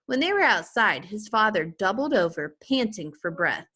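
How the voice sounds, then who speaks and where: A woman reading a children's story aloud with expressive narration. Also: mid-range voice, normal speed, slightly rough. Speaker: teacher or reader reading a children's book aloud.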